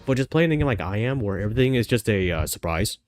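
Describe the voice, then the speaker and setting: A man's voice: lowish voice, animated, fast, no echo, soft background music. A man with a stuffy nose from a cold, chatting casually and thinking aloud while playing a game, with frequent fillers and restarts. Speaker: gaming streamer. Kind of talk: casual gameplay commentary.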